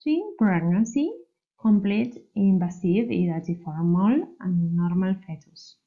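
Woman presenter, slow and halting, dark muffled lo-fi sound. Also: mid-range voice, lively intonation. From Argentina. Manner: halting, careful, non-fluent English. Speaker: medical resident presenting a case. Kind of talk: reading a case presentation.

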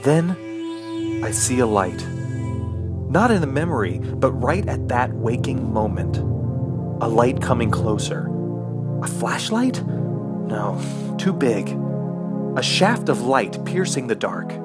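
Injured, panicked survivor played by a man, radio horror drama, slow anguished first-person narration with big pitch swings. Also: very animated. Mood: dazed, confused. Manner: halting, anguished, theatrical delivery. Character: injured, panicked man trapped alone in wilderness, recalling his ordeal. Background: music.

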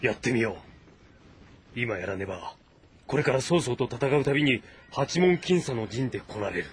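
A man playing an earnest, cautious warlord of ancient China in a game drama, with a weighty, deliberate delivery. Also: medium pitch, expressive, lightly acted. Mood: troubled, resolute. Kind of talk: dramatic audio-novel acting in a video game.

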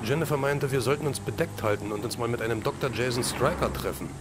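Man as a fast-talking, easygoing hero. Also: medium pitch, noisy background, lightly acted. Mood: brisk, easygoing. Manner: brisk, casual, matey. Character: easygoing, businesslike male action-series hero. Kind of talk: audio drama acting.

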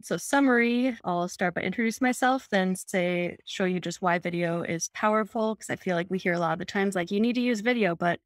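A young woman from Minnesota presents a webinar over a video call, her tone friendly and upbeat as she explains each point. Her voice sits at an ordinary middle pitch. The sound is close and dry. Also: fairly quick, few pauses, dry recording.

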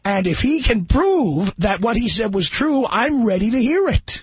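A blunt, insistent male radio host with a very husky voice that swings high and low. It sounds muffled and dry, like a broadcast captured off the air. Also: very animated, normal speed, no room sound. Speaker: radio talk show host. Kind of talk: call-in radio talk. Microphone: studio mic.

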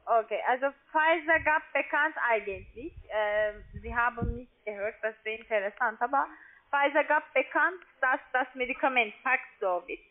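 Female telesales agent, non-native with foreign accent, high voice, halting delivery, phone-line sound. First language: not German. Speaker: call centre account agent selling online trading. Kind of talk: phone sales pitch. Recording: tinny, narrow phone line.

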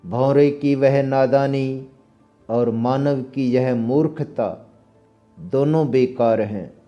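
A man giving a scripted, solemn and deliberate narration, as in a recital of verse and commentary. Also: medium pitch, muffled recording. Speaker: narrator reciting Sanskrit verses and explaining them. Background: instrumental music.

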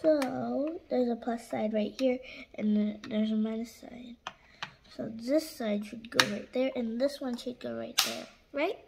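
A young girl with a high voice reviews a toy in a casual, hands-on way. She talks slowly, and the home recording has clear background noise with clicks. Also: steady tone. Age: child. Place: indoor room at a table.

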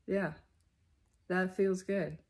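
Low, creaky-voiced woman reflecting aloud. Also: fairly even intonation, a little mellow. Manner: deliberate, halting phrases with emphatic repetition. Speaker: speaker sharing personal spiritual reflections. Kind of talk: unscripted reflective spiritual monologue.

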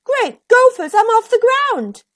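Woman voicing an excitable high-pitched storybook scarecrow. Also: a little slow, no echo, studio quality, full character voice. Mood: alarmed. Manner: exclamatory, earnest. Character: longing, excitable male scarecrow dreaming of flight.

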